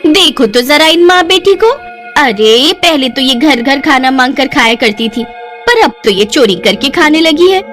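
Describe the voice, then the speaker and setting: A woman's voice: sits high, expressive, unhurried, a bit bright, soft background music, voice pushed up. A woman voicing a mocking, accusing village gossip in a cartoon story, jeering at others.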